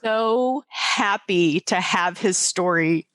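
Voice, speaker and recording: A woman's voice: lowish voice, slow, a bit bright, no room sound. A woman co-hosting a podcast, talking casually and candidly about personal life with self-deprecating openness. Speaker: podcast co-host.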